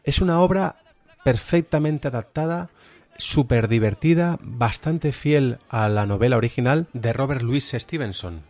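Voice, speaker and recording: A man's voice: mid-range voice, a little slow, darkish tone. A man with an accent from Spain, presenting an informal podcast review in a chatty, hesitant, self-deprecating way. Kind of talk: informal review of audio dramas.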